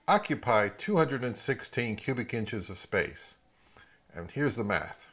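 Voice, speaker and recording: A middle-aged man hosting a casual explainer at home, speaking in a measured, halting way. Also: on the low side, fairly bright tone. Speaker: host of an audio hobby channel. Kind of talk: casual explainer to camera with some narration. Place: home living room.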